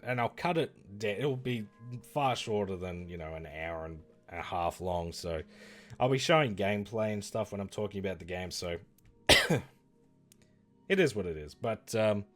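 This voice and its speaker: Lively, rambling man streaming, losing voice. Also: lowish voice, unhurried. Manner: rambling, self-correcting, lively. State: losing his voice. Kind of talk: casual livestream chat reviewing games.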